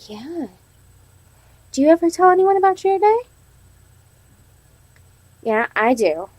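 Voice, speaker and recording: A woman's voice: very high-pitched, big pitch swings, slow pace, darkish tone, dry recording. A woman teaching young children in a read-aloud lesson, prompting them with simple questions and reading in an instructional way. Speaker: elementary teacher.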